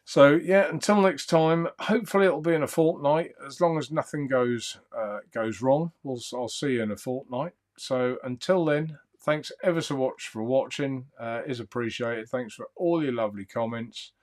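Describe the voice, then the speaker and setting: A man hosting a live stream, chatting in a calm, soothing, easygoing way and reading out viewer comments. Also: medium pitch, normal speed, hardly any pauses. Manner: rambling, easygoing, chuckles often. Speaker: livestream host.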